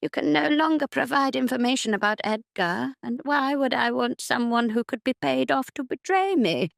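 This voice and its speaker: A woman's voice: high-pitched, normal speed, creaky, no room sound, studio quality, pitched above the performer's own voice. A woman voicing a cold, cruel, haughty noblewoman in an audiobook drama, sneering and dismissive. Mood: cold, accusing.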